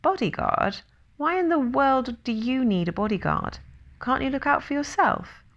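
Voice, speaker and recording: A woman's voice: mid-range voice, expressive, unhurried, muffled recording. A woman voicing a nosy, skeptical storybook character who needles with pointed, probing questions. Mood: curious.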